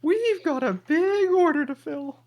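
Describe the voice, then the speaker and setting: A woman voicing an excitable little gingerbread man in a table read, with squeaky, frantic exclamations. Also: unhurried, exaggerated voice. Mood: gleeful.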